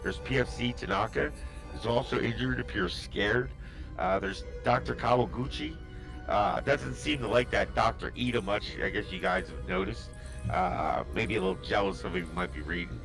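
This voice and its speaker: A man narrating a tabletop role-playing game as its game master, voice at an average pitch for a man. He thinks aloud as he goes, with lots of fillers and restarts. Faint music plays quietly behind him. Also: animated, normal speed, creaky, poor audio.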